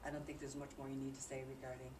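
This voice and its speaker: Female presenter, low voice, conversational explainer giving an informal group talk; noisy, echoing room recording. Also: monotone, rapid-fire. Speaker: presenter reporting on a charity trip. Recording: distant mic in noisy, echoey room.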